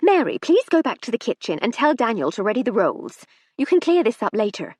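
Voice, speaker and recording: A woman reading aloud voices a gushing, imperious society wife in her fifties, with a breathy voice and a dry sound. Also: on the high side, sing-song, brisk, darkish tone, dry recording, pitched above the performer's own voice. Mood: gushing, sharp. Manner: gushing, then icy and imperious.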